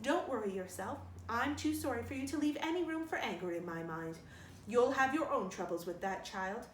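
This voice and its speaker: A woman reading a storybook, voicing an offended, sharp-tongued older village woman with a huffy, emphatic, haughty manner. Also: sits high, distant mic. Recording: roomy sound with faint noise.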